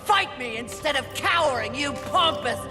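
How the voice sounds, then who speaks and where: A woman's voice: high-pitched, animated, moderate pace, reverberant, in a large hall, music in the background. An actress playing a proud, short-tempered female warrior in a video game, curt, imperious and combative. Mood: fierce scorn. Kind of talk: dramatic video game acting.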